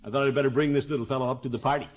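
An actor playing a flustered, good-hearted middle-aged town bigwig in a 1950s radio comedy. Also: male voice, mid-range voice, steady tone, very fast, close to an everyday voice. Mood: earnest. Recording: muffled vintage 1950s radio recording.

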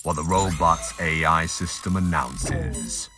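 A man's voice: very deep voice, a little slow, over music. A man giving scripted, audiobook-style narration for an audio drama.

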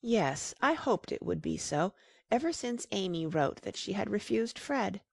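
A woman playing a gentle, loving middle-aged mother in an audiobook, speaking tenderly and reassuringly. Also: mid-range voice, sing-song, a little slow, creaky, dry recording, heavily acted. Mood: calmly pleased. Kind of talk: dramatized audiobook character reading.

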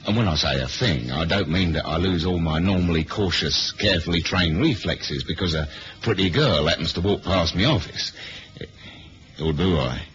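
A man's voice: deep voice, normal speed, a bit bright. A man playing a cocky, wry British spy who chases women, acting and narrating in a laid-back, slangy way in a 1970s radio drama. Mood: wry narration. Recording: dull, lo-fi old radio recording.

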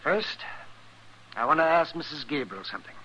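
An actor playing a weary, firm frontier town doctor in a radio western, blunt, grave and measured. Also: male voice, a little high, animated, pushed and bright, lo-fi sound, slight background noise. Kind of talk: 1950s radio western acting.

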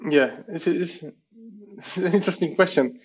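Thoughtful, hesitant male guest from Israel; slightly higher voice, dull lo-fi recording. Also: normal speed, breathy edge. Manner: hesitant, thoughtful, many restarts and fillers. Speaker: guest entrepreneur. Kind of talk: casual interview chat.

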